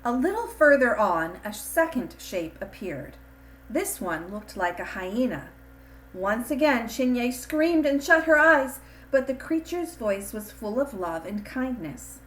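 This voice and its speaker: A woman reading a scripted children's storybook in an expressive, deliberate storytelling manner. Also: fairly high pitch. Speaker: storybook reader.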